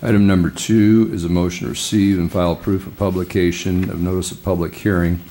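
Deep, flat-voiced older councilman reading. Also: fairly quick. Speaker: city council member. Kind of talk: reading motions aloud. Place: council chamber. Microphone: desk microphone.

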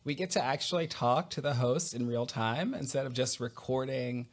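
A man's voice: mid-range voice, moderate pace. A man in his forties hosts a podcast over a headset on a video call from home. He asks his questions in a friendly, curious, conversational way. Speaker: podcast host. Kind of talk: casual interview. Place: home room, indoors.

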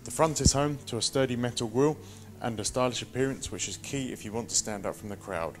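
A man in his thirties presenting a scripted product walk-through in a measured, instructional manner. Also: medium pitch, over music.

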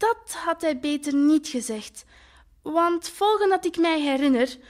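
A woman playing a naive, wistful talking flower in an audio drama, with a Flemish accent and expressive colloquial storytelling. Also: high voice, unhurried. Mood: earnest, bewildered. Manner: colloquial, expressive, lingering over words.

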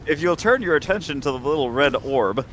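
A man's voice: on the high side, expressive, a little fast, fairly bright tone, a little hiss or hum. A man chatting casually as he plays a co-op video game. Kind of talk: casual gameplay chat.

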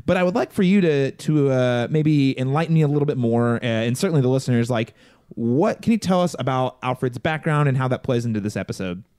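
A man's voice: mid-range voice, expressive, brisk, a little mellow, clear, resonant tone, dry recording, clean studio sound. A man co-hosting a podcast in casual chat, asking questions and reacting. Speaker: podcast co-host.

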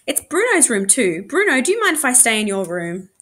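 Female reader as self-assured storybook teen girl, cheeky, animated delivery. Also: a little high, a little fast, pitched above the performer's own voice. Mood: teasing. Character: confident, cheeky teenage older sister.

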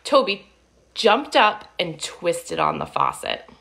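A woman in her thirties reading a picture book aloud to young children, with sing-song delivery and friendly asides. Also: fairly low pitch. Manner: animated, slow and deliberate, sing-song child-directed delivery. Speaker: children's storytime reader. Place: living room at home, indoors. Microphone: phone or webcam at arm's length.

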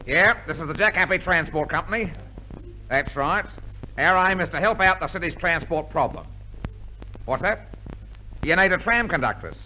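A man's voice: on the high side, expressive, normal speed, long pauses, pushed and bright, faint music behind, poor audio. A man playing a timid, wisecracking sidekick in a 1950s radio comedy. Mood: businesslike.

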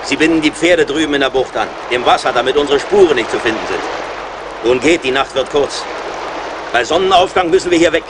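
A man's voice: mid-range voice, brisk, close to an everyday voice. A man playing a middle-aged, wry and watchful frontier scout in a German audio drama. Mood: urgent, commanding. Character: seasoned frontier scout, wry, plain-spoken, watchful, loyal. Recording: reverberant, noisy outdoor-like ambience.

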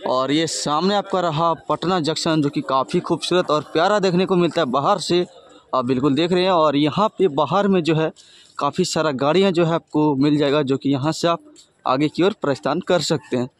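Young man, higher voice, chatty travel vlogger, outdoor railway station, phone at arm's length. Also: brisk, slight background noise. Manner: chatty, addresses viewers as friends. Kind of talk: casual travel vlog narration.

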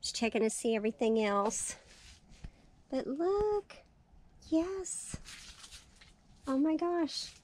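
A woman walking through her greenhouse, chatting cheerfully about her seedlings, her voice raspy and tired from lack of sleep. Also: high-pitched, sing-song, takes it slowly, pauses often, darkish tone, slight background noise. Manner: chatty, cheerful, thinking aloud. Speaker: farm vlogger. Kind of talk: casual walkthrough narration. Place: small greenhouse, indoors.

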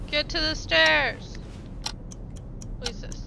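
A woman's voice: sits high, takes it slowly, vocal fry. A young woman chatting and laughing with friends while streaming a horror game online. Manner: laughs often, swears, teasing. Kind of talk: casual gaming chat with friends. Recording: loud background noise.

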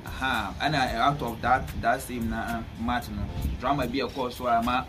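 A young Ghanaian man giving casual football punditry, speaking in a halting way and often repeating words. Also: mid-range voice, steady tone. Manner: halting, repetitive. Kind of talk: casual sports punditry, mixing English with a local language. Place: small bare indoor room, seated at a table. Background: music.

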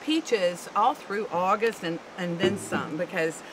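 A woman's voice: fairly low pitch, animated, slow pace, a bit bright, poor audio. A middle-aged woman hosting a cooking show on an outdoor deck, chiming in with encouraging remarks. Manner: encouraging, affirming. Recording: outdoor background noise.

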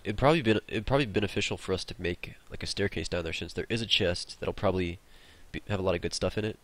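A man's voice: low voice, fast. A young man hosting a casual co-op gameplay session, rambling and joking with mild swearing. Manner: rambling, joking, mildly profane. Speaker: gaming channel host.

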